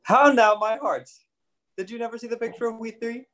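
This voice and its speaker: A man's voice: extremely high pitch, fairly even intonation, brisk, a bit bright. A man in his twenties playing a playful household fool in a Shakespeare reading over a video call. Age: 20s. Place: home kitchen.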